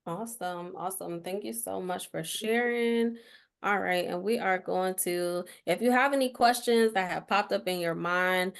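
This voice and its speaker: A woman's voice: on the low side, normal speed, creaky, clean tone. A woman casually hosting a virtual panel of guests as its moderator. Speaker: host of author meet and greet.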